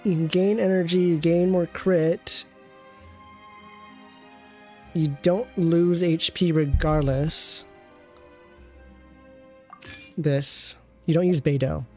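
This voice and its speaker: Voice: medium pitch, fairly even intonation, a little slow, a little mellow, soft background music. A game streamer reviewing an account with casual commentary, thinking aloud and often trailing off mid-thought.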